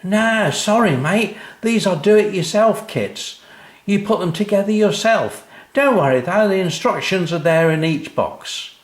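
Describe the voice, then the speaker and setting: A breezy, matey lorry driver from a storybook, played by a male reader. The voice is raised well up in pitch and loud, with a lively swing. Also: measured pace, roomy sound.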